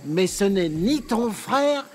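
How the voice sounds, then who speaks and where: An actor playing a childishly delighted, simple-minded sultan in a children's cartoon, gleeful and theatrical. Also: male voice, high-pitched, lively intonation, slow pace. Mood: pleased, eager. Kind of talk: French children's cartoon acting.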